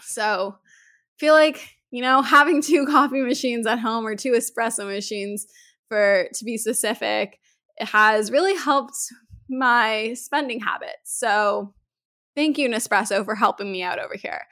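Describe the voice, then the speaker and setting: A woman from Canada hosting a podcast in casual chat, hoarse from a head cold, chatty and full of "like". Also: a little high, a little slow, pushed and bright. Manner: chatty, uses "like" often. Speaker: podcast host.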